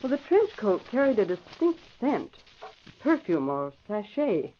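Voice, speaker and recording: An actress playing a poised, determined secretary sleuth with crisp, pointed delivery in an old radio drama. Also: female voice, fairly high pitch, very animated, unhurried, a little hiss or hum. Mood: coolly probing. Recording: muffled old radio recording.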